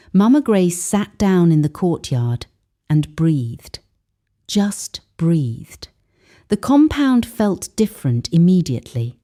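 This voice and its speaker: A woman narrating a scripted dramatic story in a solemn, measured manner with deliberate pauses for emphasis. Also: fairly low pitch, dark tone.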